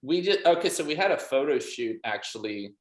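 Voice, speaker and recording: A man's voice: mid-range voice, animated, fairly quick. A man in a band chatting casually in an interview, emphatic, saying "like" and "literally" constantly. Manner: emphatic, heavy use of "like" and "literally". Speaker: band musician being interviewed. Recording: roomy, echoey sound.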